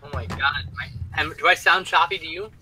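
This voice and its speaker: A man talking casually on a group call, halting and repeating himself. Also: a little high, crowd noise, low-quality recording.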